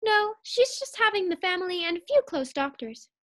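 A woman's voice: extremely high pitch, sing-song, fairly quick. A woman acting a scheming, cheerful, scatterbrained housewife in a 1940s-style radio sitcom. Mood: chirpy.

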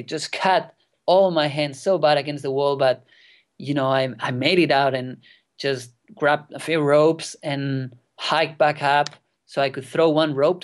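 A young man in his twenties, a non-native English speaker whose first language is Catalan, telling a wild kayaking story as a guest, excited and swearing freely. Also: medium pitch. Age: 20s. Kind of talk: casual storytelling interview. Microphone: remote call.

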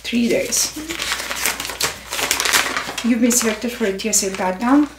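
A young woman playing a stern, methodical airport security officer in a soft-spoken ASMR roleplay, deliberate and deadpan. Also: fairly high pitch, close to an everyday voice. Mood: stern, procedural. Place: small indoor room set. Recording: roomy, distant, noisy with clicks.

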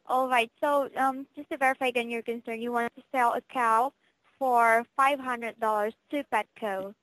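A woman with a high voice takes a customer service call. She sounds polite and formal. Her phrasing follows a careful service script. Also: steady tone, moderate pace, a bit bright, no room sound, poor audio.

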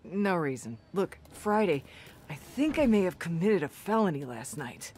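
A woman's voice: on the low side, very animated, moderate pace, darkish tone, slight background noise. An actress playing a brash, hard-drinking young woman in a video game, wisecracking and swearing freely. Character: brash young woman brawler, foul-mouthed and boozy.

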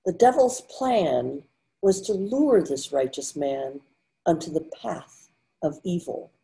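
An older woman narrating a scripted story in a measured read-aloud manner. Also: on the low side, lively intonation, vocal fry, dark tone. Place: indoors at home, video call.

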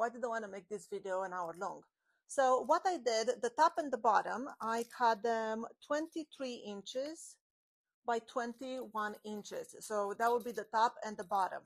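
A woman in her forties hosting a woodworking tutorial, chatting through each step while she works with her hands, recorded on a clip-on mic in a home workshop. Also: a little high. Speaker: woodworking channel host. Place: home woodworking shop with open garage door. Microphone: small clip-on mic on her shirt.

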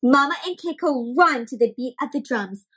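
A woman's voice: high voice, lively intonation, normal speed, fairly bright tone, breathy edge. A young woman hosting an interactive children's read-aloud, speaking expressively and putting questions to her young listeners. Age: young adult. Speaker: children's story time host and reader.

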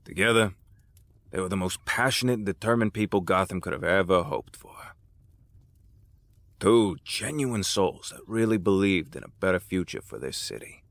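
An actor playing an older crime boss in an audio drama, patronizing and deliberate, with a smug, folksy menace. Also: male voice, low voice, expressive, gravelly, fairly bright tone, dry recording, heavily acted. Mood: wistful, nostalgic.

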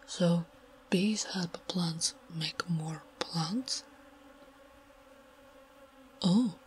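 A woman voicing a big, strong but gentle and naive orc warrior woman in an ASMR roleplay, halting and soft-spoken, half-whispering in simple broken speech. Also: lowish voice, a little hiss or hum. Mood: curious, confused.

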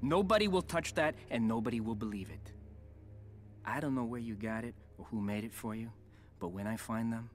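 A man's voice: lowish voice, natural voice. An actor playing a menacing corrupt male police lieutenant in a video game cutscene, cold and threatening, sinking to a hiss. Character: menacing corrupt male cop, middle-aged.

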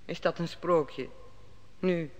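A woman's voice: on the low side, takes it slowly, a little mellow, lo-fi sound. An actress playing a middle-aged queen in an old radio drama, anxious, questioning and commanding. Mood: urgent. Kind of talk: 1950s-60s radio drama acting. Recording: old radio play with long reverb and faint hiss.

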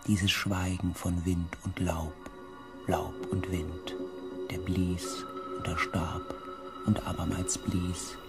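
An actor playing a reflective expedition member, narrating a sci-fi radio drama in a deliberate, descriptive way. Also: male voice, low-pitched, little pitch movement, vocal fry, over loud music, heavily acted. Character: reflective male expedition member telling the story. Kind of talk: scripted first-person narration.

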